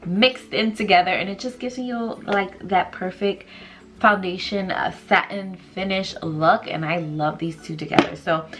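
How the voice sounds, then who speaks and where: A woman's voice: medium pitch, steady tone, a little slow, a bit bright. A young woman in her twenties with a stuffy nose, chatting casually through a makeup tutorial in a small room, rambling with lots of fillers. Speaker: beauty vlogger. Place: small bedroom vanity indoors.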